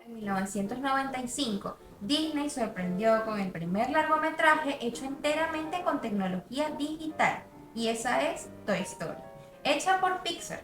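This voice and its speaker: A woman's voice: sits high, fairly bright tone, echoey room. A woman reading a scripted narration for a student video essay.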